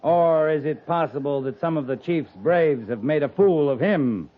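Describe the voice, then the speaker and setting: An actor playing a stern, shrewd, commanding US Army cavalry captain in 1950s radio western style. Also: male voice, a little high, lively intonation, moderate pace, lightly acted. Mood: stern, challenging. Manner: commanding, clipped, sternly challenging. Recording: muffled lo-fi 1950s radio recording.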